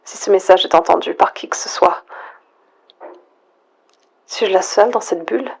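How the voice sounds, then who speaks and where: A woman playing a lonely, frightened last survivor, murmuring a halting, introspective audio log in a breathy, husky near-whisper. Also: medium pitch. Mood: searching, pleading. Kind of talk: hushed monologue, analog horror audio log.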